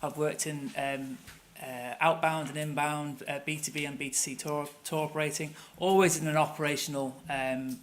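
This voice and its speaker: A man's voice: on the high side, steady tone, moderate pace, hardly any pauses. A middle-aged man with a Manchester accent giving a conversational conference presentation, with many fillers and restarts. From England. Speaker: tour operator presenter. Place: conference room.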